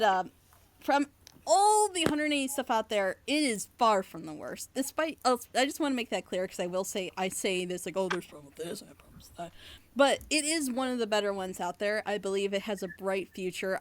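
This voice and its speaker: A woman chatting casually on a reaction panel, giving feedback in an animated, rambling, gushing way. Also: mid-range voice, brisk. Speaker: reviewer and script editor on a reaction panel.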